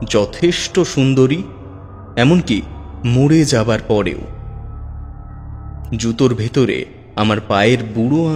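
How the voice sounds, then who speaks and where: A man narrating a first-person horror story in a measured, suspenseful manner. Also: medium pitch, a little mellow. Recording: long hall reverb with music. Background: faint background music.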